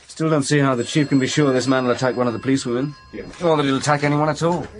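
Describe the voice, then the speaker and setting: An actor playing a cheeky, sceptical young police detective in a radio drama. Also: male voice, medium pitch, fairly even intonation, racing, slight background noise, close to an everyday voice. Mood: sceptical, teasing. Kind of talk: British radio drama acting.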